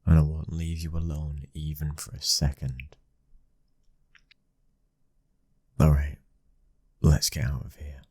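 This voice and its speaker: Very deep-voiced British mafia heir, acted by a man. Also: flat delivery, normal speed, darkish tone, slightly rough, no room sound. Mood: teasing, yielding. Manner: low, intimate, commanding murmur. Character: possessive young mafia boss's son, controlling but tender husband. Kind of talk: intimate ASMR boyfriend roleplay.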